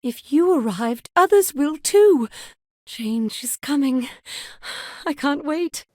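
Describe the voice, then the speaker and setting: A wonderstruck, courteous female scientist from a science fiction game. Her voice sits on the high side and her phrasing is formal and old-fashioned, earnest throughout. The sound is very dry, with no room around it. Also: animated, slow, no echo. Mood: eager. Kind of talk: scripted video game dialogue.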